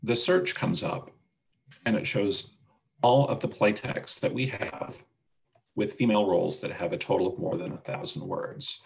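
A man with a gravelly voice presenting a product demonstration and answering questions on a video call. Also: lowish voice, normal speed, poor audio. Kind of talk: webinar demonstration and Q&A. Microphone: video call microphone.

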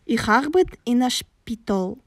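A woman reading short phrases for a language lesson, repeating each one slowly and clearly. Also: a little high, sing-song, no room sound, studio quality.

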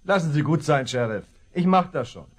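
A man's voice: a little high, animated, brisk, breathy edge. A young actor playing an earnest, anxious young man worried about his lost dog in a Western radio play. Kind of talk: 1970s German radio play acting.

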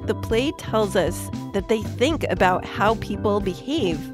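A woman's voice: medium pitch, lively intonation, unhurried. Woman, kids' podcast host: playful explainer, very varied intonation, music bed underneath. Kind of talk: scripted narration for a kids' science podcast. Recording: music behind the voice.

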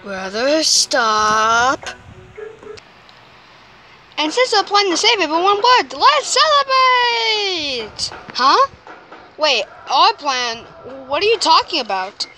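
A woman's voice: high-pitched, sing-song, slow pace, sharp tone, a little hiss or hum. A woman performing an amateur comic dub, acting out cartoon characters.

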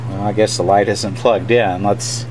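Guy w a lowish voice talking thru a repair at his workbench, matter of fact, bit of hum in the background. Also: moderate pace. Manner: unscripted, matter-of-fact technical explaining. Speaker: radio repairer presenting his work. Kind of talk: casual hands-on repair demonstration. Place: indoor workbench. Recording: steady hum and room noise.

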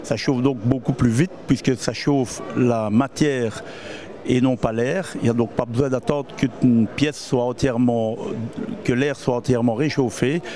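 A middle-aged man at a fair stand explains his product in a steady, mid-pitched voice. He stops often with hesitant fillers, and his tone sounds dark and mellow. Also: unhurried. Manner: hesitant, with many "euh". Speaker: exhibitor, heating salesman. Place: exhibition stand in a hall.

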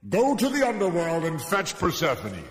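A blustering king of the Greek gods, acted by a man for a children's story. He declaims in a high, widely swinging voice with a reverberant ring. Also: huge pitch swings, normal speed. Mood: blustering, exasperated. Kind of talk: dramatized children's myth storytelling. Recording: echoey reverberant voice effect.

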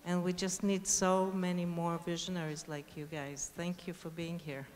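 Woman panelist, lowish voice, faint hiss. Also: flat delivery, moderate pace. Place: large conference hall stage. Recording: hall reverb, faint noise.

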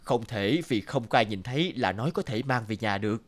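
A man playing a stern, principled man in a cartoon, speaking firmly and in a measured way. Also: medium pitch, expressive, close to an everyday voice. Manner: firm, measured, moralizing. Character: stern, principled adult man of firm morals. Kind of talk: cartoon dub acting.

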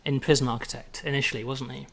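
A man's voice: mid-range voice, flat delivery, fast talker, vocal fry, a bit bright. A man, a game designer, chatting easily with a colleague, laughing often and rambling with restarts. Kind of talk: casual chat with a colleague.

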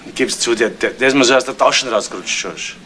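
A man's voice: medium pitch, fairly quick, bright, forceful tone, close to an everyday voice. A man playing a good-natured, flustered junior policeman with a Bavarian accent, colloquial and stammering, in 1970s radio drama style. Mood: defensive, flustered. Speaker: actor. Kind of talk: 1970s German radio crime drama acting. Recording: noisy old 1970s radio play recording.

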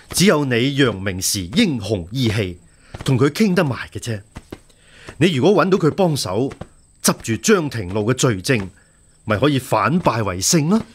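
An actor with a Hong Kong Cantonese accent playing a shrewd, steady imperial censor who reasons persuasively in a radio drama. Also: male voice, medium pitch, a little hiss or hum, close to an everyday voice.

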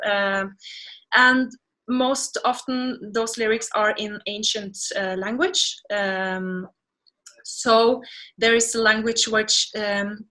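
A Norwegian woman speaks in a bright, fairly high voice over a webcam from her home. She answers interview questions casually and with animation, laughing now and then as she explains her ideas. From Norway. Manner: animated, gestures a lot, laughs while talking. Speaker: guest singer. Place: home room full of plants, indoors. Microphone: video call webcam.

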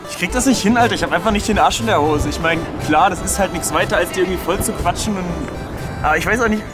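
A man's voice: high-pitched, fast, over music. A young man from Dortmund in a street prank comedy sketch, talking in an awkward, hesitant, word-searching way outdoors on a busy city square. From Dortmund, Germany.

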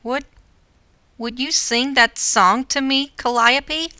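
A woman's voice: high-pitched, steady tone, slow, sharp tone, exaggerated voice. A woman voicing a small, shy, innocent young talking pumpkin, earnest and hesitant, in a children's show. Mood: unsure, earnest.

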